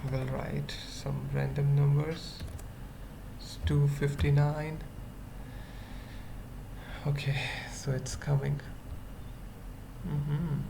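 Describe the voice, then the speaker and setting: Slow, very creaky voice, coding tutorial, noisy. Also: mid-range voice, flat delivery. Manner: thinks aloud in short, halting fragments. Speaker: web development tutorial presenter. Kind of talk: unscripted screencast coding tutorial. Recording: noisy mic, keyboard typing. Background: computer keyboard typing.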